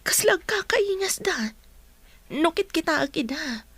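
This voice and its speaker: A woman's voice: very high voice, very animated, unhurried, a bit bright, no echo, full character voice. A woman with an Ilocano accent acting an observant, confiding friend in a radio drama.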